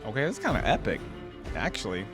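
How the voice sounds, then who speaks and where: A man's voice: medium pitch, huge pitch swings, quick pace, over music. A man giving casual reaction commentary as a host, conversational and thinking aloud.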